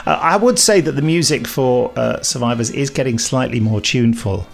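Wry podcast host, slightly creaky voice. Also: male voice, medium pitch, normal speed. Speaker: podcast host, audio drama director and composer. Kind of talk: casual podcast chat, reading listener emails.

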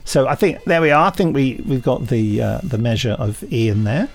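A man's voice: mid-range voice, expressive, normal speed, vocal fry. A middle-aged British man hosting a podcast in casual chat, hesitant, wry and a little pedantic. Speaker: podcast host.

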